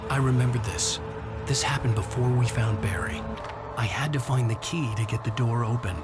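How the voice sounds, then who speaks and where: An actor playing a weary, troubled writer trapped in a nightmare, terse and exasperated in scripted game narration and dialogue. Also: male voice, medium pitch, normal speed, lightly acted. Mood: grim, reflective. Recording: music behind the voice.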